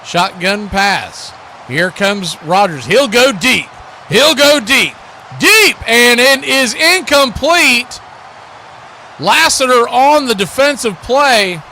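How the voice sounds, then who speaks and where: A man's voice: very high-pitched, animated, takes it slowly, sharp tone, slight background noise. A man doing live football radio play-by-play in short, clipped, conversational calls. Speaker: play-by-play announcer.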